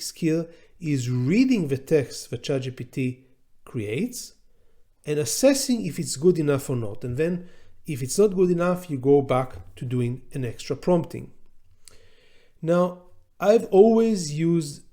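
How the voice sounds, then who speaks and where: A man whose first language is Hebrew, teaching a class in a measured, deliberate way, pausing often and repeating words as he thinks. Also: a little high, sing-song, mellow. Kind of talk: recorded lecture to students.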